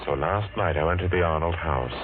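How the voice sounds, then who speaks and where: Man as low, intense middle-aged clockmaker, obsessive and brooding, vintage muffled radio thriller with music. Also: lightly acted. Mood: grim, intense. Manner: intense, dramatic, deliberate. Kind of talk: 1940s radio drama acting, first-person narration. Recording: muffled old radio recording, music behind.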